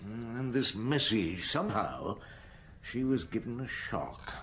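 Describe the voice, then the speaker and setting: A low male voice plays a brooding chief inspector who questions deliberately and thinks aloud. It is radio drama, with some background noise from an old broadcast. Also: expressive, a bit bright. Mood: pondering. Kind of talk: BBC radio drama acting.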